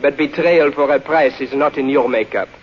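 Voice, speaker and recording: An actor playing an earnest, dignified French resistance man in a 1940s radio drama, with formal, measured phrasing. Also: medium pitch, close to an everyday voice. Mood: grave, earnest. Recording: muffled, hissy old radio recording.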